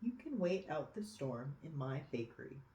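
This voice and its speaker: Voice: mid-range voice, very animated, moderate pace, warm tone, reverberant, poor audio. A reader voicing a kindly woman baker in a storybook read-aloud. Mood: kindly, encouraging. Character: kindly woman baker inviting a small dragon in.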